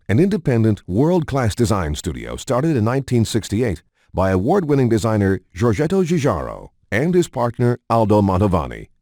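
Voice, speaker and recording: A man's voice: lowish voice, dark tone, no echo, studio quality. Male narrator with widely swinging intonation. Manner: measured, polished announcer delivery. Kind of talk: scripted documentary-style narration.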